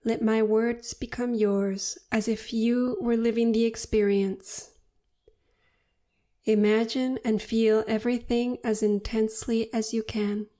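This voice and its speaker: A woman narrating a guided meditation in a soothing, unhurried and deliberate manner. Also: on the high side, little pitch movement, dark tone.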